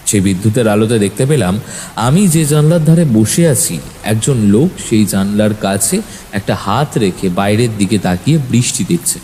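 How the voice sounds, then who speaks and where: A man narrating a first-person horror story in a suspenseful, expressive, dramatic way. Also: lowish voice, moderate pace, poor audio. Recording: faint background noise.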